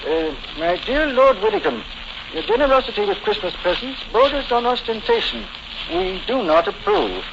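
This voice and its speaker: Crackly, muffled old radio recording of a wealthy English lord. His voice is high and animated, with a polite, fussy, anxious manner in 1940s radio style. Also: moderate pace, gravelly, exaggerated voice. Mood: concerned. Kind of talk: 1940s radio drama acting.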